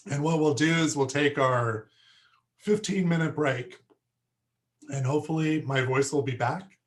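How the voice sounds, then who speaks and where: A man teaching a plant class who is losing his voice, hoarse and halting, often stopping to clear his throat. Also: fairly high pitch. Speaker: horticulture instructor. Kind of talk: lecture to a class.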